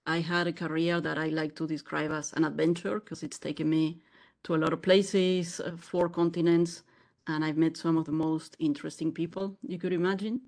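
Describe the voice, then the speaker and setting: Low-voiced woman from argentina, smooth storyteller on a podcast. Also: level tone, moderate pace, a little mellow. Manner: fluent, storytelling tone. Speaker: podcast guest.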